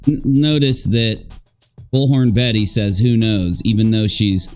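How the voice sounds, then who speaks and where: A man's voice: on the low side, steady tone, unhurried, darkish tone, soft background music. A man giving mocking, sarcastic reaction commentary as he talks over clips. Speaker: YouTube commentator.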